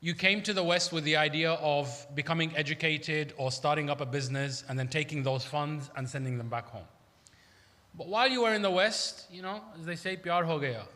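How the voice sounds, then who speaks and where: Bright-voiced male preacher in an echoey hall. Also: fairly high pitch, brisk. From Iraq. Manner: conversational, emphatic, uses rhetorical questions. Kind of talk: sermon. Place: large hall at an Islamic centre. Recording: echoey hall with long reverb.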